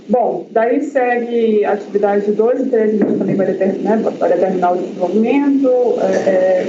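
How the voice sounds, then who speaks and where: A man's voice: sits high, creaky. A researcher presenting his project at an academic seminar, talking in a hesitant way with many fillers and restarts. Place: university lecture room. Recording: echoey lecture hall, dull lo-fi sound, audible noise.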